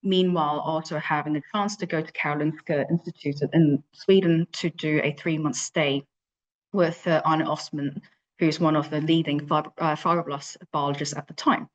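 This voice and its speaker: Woman from Hong Kong, thirties, deep voice, hesitant conference speaker with many fillers. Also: steady tone, moderate pace, lo-fi sound. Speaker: invited speaker, lab leader. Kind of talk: virtual conference talk.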